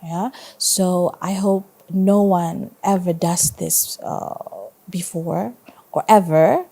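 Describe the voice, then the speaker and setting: A woman teacher reading a story aloud to children in an expressive, informal way, with conversational asides. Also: on the low side, slow pace, vocal fry.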